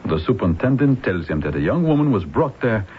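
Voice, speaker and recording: An actor playing a courteous, formal police lieutenant with a French accent in an old radio drama, speaking deliberately. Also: male voice, on the low side, sing-song. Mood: formal, measured. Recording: muffled, noisy old radio recording.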